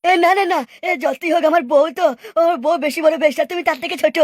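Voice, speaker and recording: A woman voicing a foolish, excitable village man in a comic cartoon, blustering and repeating himself. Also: extremely high pitch, quick pace, a crowd in the background. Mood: placating, flustered. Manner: repetitive, blustering.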